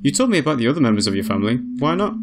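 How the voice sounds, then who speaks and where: A man's voice: mid-range voice, very animated, racing, very noisy, lightly acted. A man voicing a polite, curious young female android in a visual novel. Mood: curious, probing.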